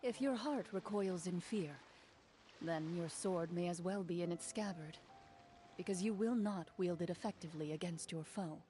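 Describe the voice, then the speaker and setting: An actress playing a composed, stern young champion duelist in a video game, speaking in a measured, formal, self-assured way over music. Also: female voice, lowish voice, dark tone, natural voice. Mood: solemn, resolute. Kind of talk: video game character dialogue.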